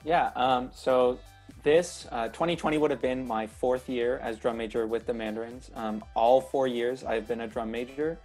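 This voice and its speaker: A man's voice: mid-range voice, steady tone, normal speed, a little mellow, faint music behind. A man in his twenties from Northern California, a drum major, answering interview questions over a video call, hesitant and earnest, with many fillers. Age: 20s.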